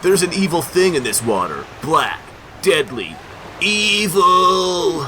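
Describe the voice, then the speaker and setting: A man voicing an ominous, halting local fisherman who warns of evil waters in a comic book dramatic reading. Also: on the high side, sing-song, pushed and bright, a little hiss or hum, pitched above the performer's own voice, full character voice.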